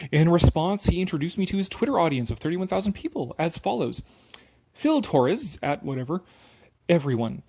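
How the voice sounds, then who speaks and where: A somewhat high-pitched male voice with a gravelly, husky grain. He reads aloud theatrically and drops in sarcastic, mocking asides in a loose, sweary, opinionated style. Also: a little mellow, poor audio. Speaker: commentator reading an article aloud with his own remarks. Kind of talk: sarcastic dramatic reading mixed with casual opinionated commentary.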